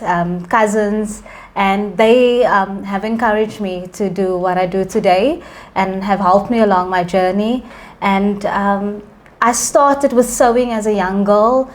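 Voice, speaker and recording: A woman from Cape Town, answering interview questions gently, with frequent pauses. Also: mid-range voice. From Cape Town, South Africa. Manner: soft-spoken, gentle, many "um" pauses. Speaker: fashion designer guest.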